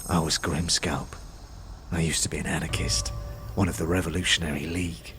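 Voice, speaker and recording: An actor playing a talking skull, a dead anarchist who is bitter, conspiratorial and speaks in streetwise slang, in a fantasy audio drama. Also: male voice, rumbling low, fairly even intonation, brisk, bright, forceful tone, faint music behind. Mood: bitter, guarded. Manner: streetwise slang, scornful, guarded.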